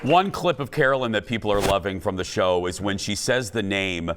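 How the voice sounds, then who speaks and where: A man's voice: fairly low pitch, fairly quick, a loud crowd, very noisy. A man with a Minnesota accent hosting a talk show, teasing and laughing often as he runs a quiz game. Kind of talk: playful talk show banter.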